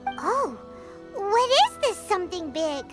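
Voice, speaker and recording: Little fairy girl, very high squeaky voice, slow drawn-out delivery, indignant and excitable, game cutscene, music behind. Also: full character voice. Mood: curious. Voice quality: squeaky, childlike. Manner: refers to self in third person, excitable. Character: small childlike fairy girl companion, cheeky and indignant. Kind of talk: video game cutscene voice acting.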